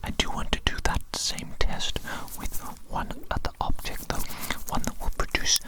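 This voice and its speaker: A woman whispering breathily in an ASMR medical roleplay as a doctor, speaking in a soothing, deliberate way. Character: evil doctor testing a tiny creature. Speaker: ASMR performer.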